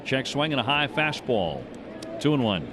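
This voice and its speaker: A man works as a play-by-play announcer on a live baseball radio broadcast. His voice sits at a middle pitch, and there is plenty of outdoor stadium noise around it. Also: sing-song, normal speed. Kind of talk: live sports commentary. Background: stadium ambience and noise.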